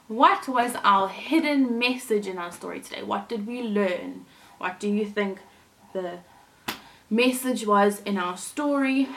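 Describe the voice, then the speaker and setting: A woman who teaches young children, telling a story with expressive, deliberate phrasing. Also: medium pitch, a little hiss or hum, low-quality recording. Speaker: primary school teacher.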